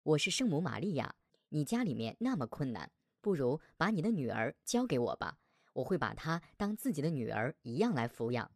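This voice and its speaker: A man's voice: on the high side, big pitch swings, brisk, creaky, dry recording, studio quality, full character voice. Man voicing a solemn, lilting heavenly mother. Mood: gentle, kindly. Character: gentle but stern Virgin Mary, heavenly foster mother.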